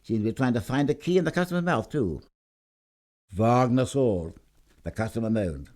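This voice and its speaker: A man's voice: medium pitch, lively intonation, normal speed, darkish tone. A man reading a scripted short story aloud in a theatrical, emphatic storytelling manner. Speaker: story reader.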